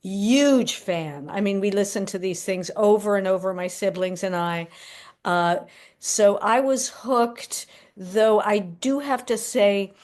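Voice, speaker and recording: Slow-talking woman. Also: mid-range voice, darkish tone. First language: French. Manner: measured and thoughtful with fillers. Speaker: guest, playwright and librettist. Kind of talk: casual interview answers.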